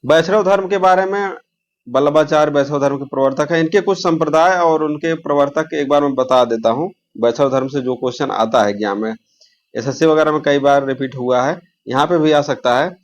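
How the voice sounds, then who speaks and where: A man's voice: on the high side, fairly even intonation, brisk. Man teaching an exam class. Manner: instructional, repeats key terms and asks rhetorical questions. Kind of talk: informal exam lesson, explaining questions.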